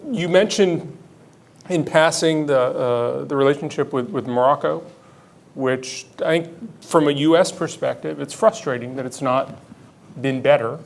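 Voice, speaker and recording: A man's voice: a little high, measured pace, vocal fry. A man moderating a policy forum, polite and dry in tone, who sets the ground rules and poses questions with some hesitation. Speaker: moderator, program director.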